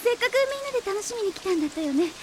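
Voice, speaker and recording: An actress playing an earnest, emotional young woman in a Japanese audio drama, expressive and girlish. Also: very high voice, very fast, heavy background noise. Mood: apologetic. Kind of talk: Japanese anime-style audio drama acting.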